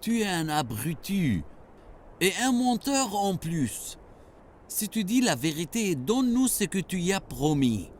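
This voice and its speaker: An actor playing a hot-tempered, contemptuous pagan master in a French cartoon, imperious and melodramatic. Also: male voice, high voice, big pitch swings, unhurried, a little hiss or hum. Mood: angry insult.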